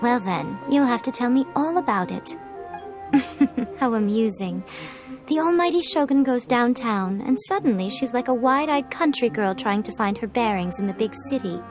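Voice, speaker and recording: A woman's voice: fairly high pitch, lively intonation, normal speed, music in the background, lightly acted. A woman playing a sly, elegant, knowing shrine priestess in a video game, with a playful, wry way of talking. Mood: amused, teasing. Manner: playful, wry, smooth-tongued.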